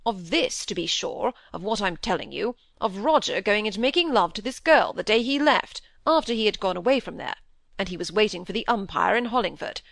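A woman voicing a gruff, hot-tempered old country squire in an audiobook, blustering and emphatic, with rustic old-fashioned phrasing. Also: mid-range voice, expressive, brisk, fairly bright tone, dry recording. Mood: irritated. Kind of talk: audiobook character dialogue.

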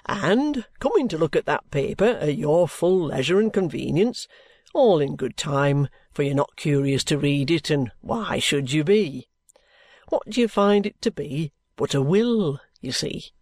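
A reader voicing a sly, genial middle-aged male detective with a cheerful, coaxing, unhurried manner. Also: medium pitch, dry recording, natural voice. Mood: wheedling. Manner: cheerful, coaxing, leisurely drawl. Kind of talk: audiobook character reading.

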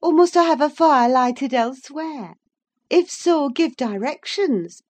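A woman reading a story in the part of an excitable, imperious young gentlewoman with a gushing, theatrical manner. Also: high voice, normal speed, voice pushed up. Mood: playful, imperious. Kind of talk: dramatised audiobook reading.

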